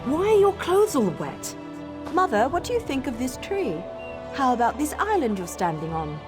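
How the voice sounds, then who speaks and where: A woman's voice: high-pitched, big pitch swings, moderate pace, music in the background. A woman playing a troubled, emotional young elf girl with magic in a video game. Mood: proud, eager. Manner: emotional, swinging from bitter anger to pleading.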